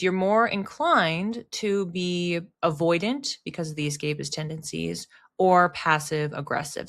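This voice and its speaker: A woman's voice: lowish voice, a bit bright. A woman giving an explanatory solo talk to viewers in a conversational manner, restating words for emphasis. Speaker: astrology content creator and host.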